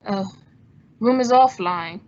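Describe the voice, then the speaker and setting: A woman playing a suave, wry gentleman sleuth, polished and teasing, in an old-time radio detective drama. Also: mid-range voice, slow pace, highs cut off, lightly acted. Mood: wry, persuasive.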